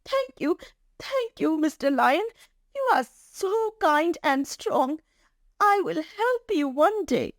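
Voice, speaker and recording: A woman's voice: sits high, very animated, slow, dry recording, clean studio sound, pitched above the performer's own voice, heavily acted. A woman voicing a tiny, timid, polite mouse who is eager to help, in a storybook reading. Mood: grateful.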